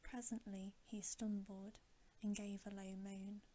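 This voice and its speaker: A woman whispering a storybook narration in a hushed, gentle ASMR style. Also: mid-range voice, level tone, a little slow. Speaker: ASMR channel host reading a bedtime story.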